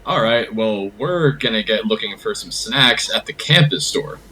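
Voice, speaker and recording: A man's voice: lowish voice, expressive, moderate pace, sharp tone, a little hiss or hum, poor audio. A young man acting as a laid-back slacker college student in a naturalistic audio drama. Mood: breezy, casual.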